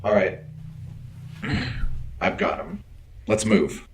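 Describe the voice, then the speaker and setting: A determined security officer in a sci-fi drama. His deep voice is terse and formal, with a deadpan steadiness. Slight ambience sits in the background. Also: very slow, vocal fry, slight background noise, heavily acted. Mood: steady, resolute. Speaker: actor in a sci-fi audio drama. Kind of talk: naturalistic audio drama acting.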